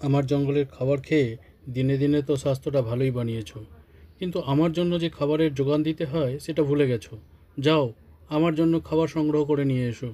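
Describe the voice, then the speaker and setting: A man's voice: on the high side, fairly even intonation, fast talker, mellow, natural voice. A man voicing a demanding, gullible tiger who lords it over the jungle in a children's cartoon, threatening and scornful.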